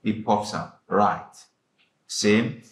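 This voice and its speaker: Male instructor, fairly low voice, directive, repeats short commands, echoey classroom, faint rumble. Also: drawn-out words, far from the mic. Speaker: design instructor. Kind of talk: classroom software tutorial.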